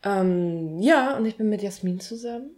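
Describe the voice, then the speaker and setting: A woman in her thirties from Berlin co-hosts a relaxed podcast chat. She talks in a bubbly, playful way with a mid-range voice. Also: mid-range voice, moderate pace. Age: 30s. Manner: bubbly, playful, laughs often. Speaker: podcast co-host. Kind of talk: casual chat.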